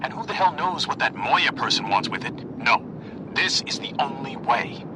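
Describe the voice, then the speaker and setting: A man playing a stern, businesslike handler in a video game, briskly giving clipped orders. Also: medium pitch, big pitch swings, noisy background, lightly acted. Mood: stern, urgent.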